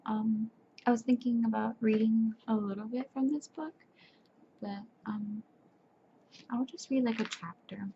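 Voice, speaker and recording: Soft-spoken sleepy young woman, gravelly. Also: on the high side, steady tone, slow, long pauses. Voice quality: soft, breathy. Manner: stumbles and corrects herself while reading. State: tired, sleepy. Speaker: ASMR creator. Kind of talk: soft-spoken ASMR chat and reading aloud. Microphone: webcam. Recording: dull lo-fi webcam audio with faint hiss.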